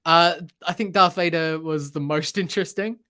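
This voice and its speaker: A man's voice: on the high side, fairly even intonation, moderate pace, bright, forceful tone, clear, resonant tone. A man chatting casually about pop culture as a podcast host, hesitant and thinking aloud as he goes.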